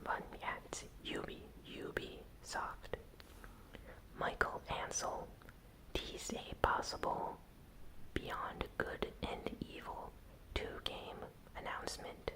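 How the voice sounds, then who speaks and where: A host whispering a gaming news recap in ASMR style, with soft, unhurried, deliberate phrasing. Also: poor audio.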